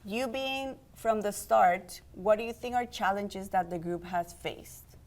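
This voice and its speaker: A young woman hosts a community TV segment in a friendly, upbeat way. She steers the talk with easy questions and introduces each guest. Her pitch sits in an ordinary middle range. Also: measured pace, a little mellow. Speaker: host and program organizer. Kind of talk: community TV interview.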